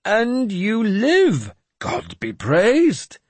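A high, slow mad bishop. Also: male voice, big pitch swings, slightly rough, no room sound, full character voice. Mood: scornful. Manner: high, slow, sing-song preaching delivery. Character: mad, sly, lofty self-proclaimed male bishop.

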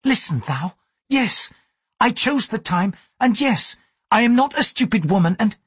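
A man's voice: sits high, lively intonation, a little slow, slightly rough, dry recording, pitched above the performer's own voice, exaggerated voice. A man reading aloud, voicing a poised young Japanese noblewoman with a formal, archaic way of speaking. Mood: heated. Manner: formal, archaic thee-and-thou speech, apologetic "so sorry".

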